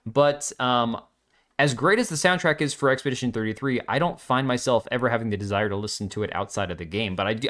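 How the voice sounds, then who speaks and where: A middle-aged man hosting a live stream, chatting casually and reacting to chat with quick jokey asides. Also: mid-range voice, a bit bright. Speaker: livestream host.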